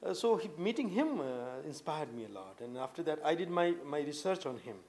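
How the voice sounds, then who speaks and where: A man in his late thirties from India, with an Indian accent, answering interview questions earnestly with many halting fillers and restarts. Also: a little high, big pitch swings, darkish tone. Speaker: poet guest. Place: indoor room with tapestries and a sofa.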